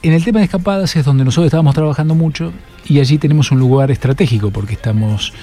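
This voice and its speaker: A middle-aged Argentine man answers an interviewer's questions in a measured, deliberate way, often stopping on fillers and restarting his sentences. His voice has a mellow, dark color at an ordinary pitch, and faint music plays behind him. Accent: Argentine Spanish. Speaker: political candidate.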